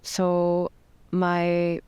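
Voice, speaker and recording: A woman's voice: fairly low pitch, completely flat delivery, extremely slow, a little mellow, clean tone, no echo. A woman with a Hong Kong accent answering interview questions, hesitant, with many ums and restarts. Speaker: guest, democracy advocate.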